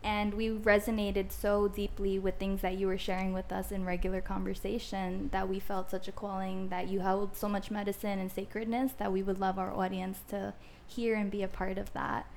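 A woman hosting a podcast, asking guests questions in an earnest, soft-spoken way. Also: mid-range voice, level tone, normal speed, creaky, a little hiss or hum. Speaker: podcast host. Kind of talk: casual interview chat.

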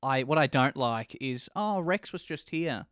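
A man's voice: mid-range voice, lively intonation, a little slow, a bit bright, smooth, no echo, clean studio sound. A man co-hosting a casual chat show, giving his reviews of films and series. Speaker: podcast co-host reviewing films and shows.

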